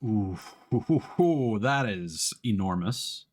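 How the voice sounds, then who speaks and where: A man with a fairly low voice streams a game with casual commentary. His pitch swings around a lot as he talks. He thinks aloud, often halting as he works through what he sees. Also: lively intonation, a little mellow. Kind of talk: casual live gameplay commentary.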